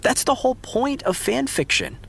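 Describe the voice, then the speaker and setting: An actor playing a wry, self-mocking but loyal TV heartthrob in a naturalistic audio drama. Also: male voice, very high voice, huge pitch swings, normal speed, vocal fry, a bit bright, slightly rough, heavily acted. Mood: earnest, teasing. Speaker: actor in scripted fiction podcast.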